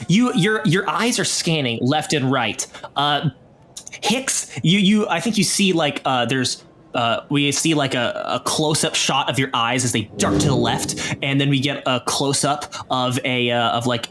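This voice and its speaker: A man narrating an improvised tabletop game as game master, describing scenes in a halting, cinematic way. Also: medium pitch, a bit bright, slight background noise. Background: music.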